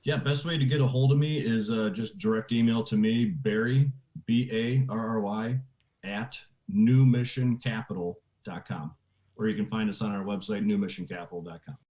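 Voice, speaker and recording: Low-voiced man in an echoey room. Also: steady tone, normal speed, dark tone. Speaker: podcast guest, real estate investor. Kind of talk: casual interview answers. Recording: roomy, echoey voice recording.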